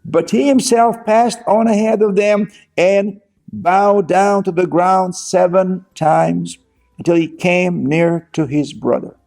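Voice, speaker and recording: A man from Israel giving an earnest sermon and reading scripture aloud at a slow pace. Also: high-pitched, fairly even intonation, vocal fry, darkish tone. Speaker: teacher of scripture.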